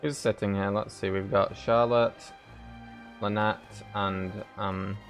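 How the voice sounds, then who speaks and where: Male streamer, deep voice, casual gameplay commentary, wry asides, faint background music. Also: little pitch movement, takes it slowly. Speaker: gaming streamer.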